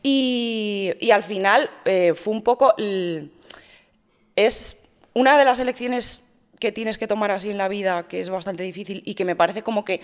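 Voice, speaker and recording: A woman's voice: medium pitch, moderate pace. Chatty woman in a hall. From Madrid, Spain. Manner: chatty, many fillers, laughs easily. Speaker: guest, fitness influencer. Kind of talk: casual interview talk. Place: large gym hall. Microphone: studio mic on a stand. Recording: reverberant hall.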